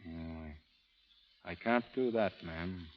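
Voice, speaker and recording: Low-voiced, laconic frontier gunfighter. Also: male voice, slow pace, a little hiss or hum. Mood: quiet, weary. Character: stubborn, laconic frontier gunfighter, quietly dangerous man. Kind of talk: old-time radio drama acting. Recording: muffled old radio recording with music.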